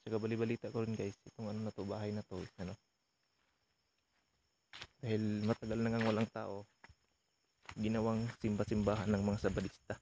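A man's voice: fairly low pitch, little pitch movement, a little fast, long pauses, a little mellow. A young man giving casual commentary as he explores outdoors at night for a vlog. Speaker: vlog host, ghost hunter. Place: outdoors at night around an abandoned building. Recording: noisy outdoor recording with insects.

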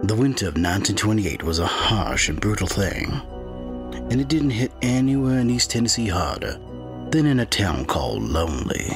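An actor playing a grim, secretive 1920s small-town sheriff, telling his dark tale as a gravelly first-person narrator. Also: on the low side, big pitch swings, normal speed, over music. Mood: grim, brooding. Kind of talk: first-person dramatic storytelling.

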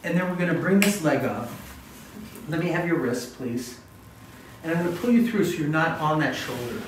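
A man's voice: a little high, expressive, normal speed. Middle-aged male chiropractor in a roomy space. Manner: instructive, step by step. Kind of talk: explaining hands-on treatment to clients. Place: small indoor treatment room. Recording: roomy echo, faint background noise.

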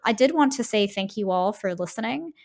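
A woman's voice: medium pitch, expressive, a little fast, creaky, darkish tone. A woman hosting a podcast in a casual analytical discussion, discursive and often checking in with "right?". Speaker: podcast host.

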